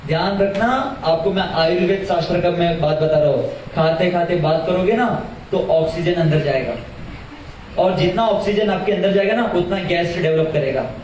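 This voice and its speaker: A young man with a high voice gives a lively religious talk to an audience. He asks questions and repeats his key phrases for emphasis. He sounds far from the mic, and his voice rings through a very echoey hall. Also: moderate pace, slight background noise. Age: young adult. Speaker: religious teacher. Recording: very echoey hall, heard from afar.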